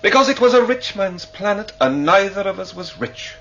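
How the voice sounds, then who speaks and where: A man's voice: high-pitched, animated, normal speed, sharp tone, full character voice. An actor playing a penniless, defensive male passenger in a sci-fi radio drama, earnest and indignant. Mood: bitter, confessing. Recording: muffled, hissy old radio recording with hum.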